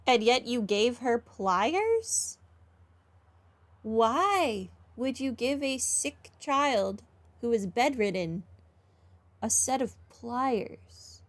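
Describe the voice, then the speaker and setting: A woman's voice: on the high side, expressive, drawn-out words. A woman gaming streamer giving casual, wry, informal commentary on a playthrough. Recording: game music behind voice.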